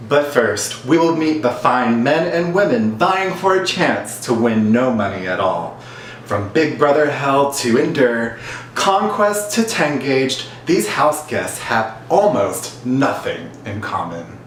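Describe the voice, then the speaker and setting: Male host, dramatic announcer read, very lively pitch, roomy and distant recording. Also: slow. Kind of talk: reality show hosting. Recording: echoey room, distant mic, faint hiss.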